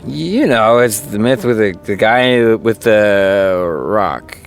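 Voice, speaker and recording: A man's voice: fairly low pitch, animated, takes it slowly, faint music behind. A man voicing a jaded, sarcastic actor in an audio drama, with a dry, drawling delivery. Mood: bitter. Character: jaded actor who plays a vampire, sardonic.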